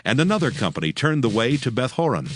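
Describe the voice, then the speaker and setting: A man's voice: mid-range voice, very animated, quick pace, background noise. A man narrating scripture in a solemn, scripted, dramatic storytelling style. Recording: music under the narration.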